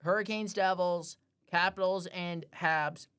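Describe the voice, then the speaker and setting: A woman's voice: on the low side, steady tone, measured pace, smooth. A woman giving short, reserved answers in a casual chat while watching hockey. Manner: short replies, quietly reserved. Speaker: co-host.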